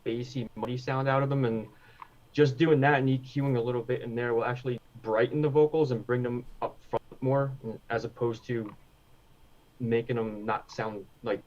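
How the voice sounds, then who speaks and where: Male guest, relaxed and unhurried, dull lo-fi sound. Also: medium pitch. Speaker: panel guest. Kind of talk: casual panel discussion.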